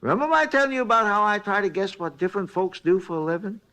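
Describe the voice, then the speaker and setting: A man's voice: sits high, quick pace. An actor playing a chatty, folksy older man looking over mug shots, in a 1950s radio drama. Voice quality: gravelly, lively folksy drawl. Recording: old radio broadcast recording.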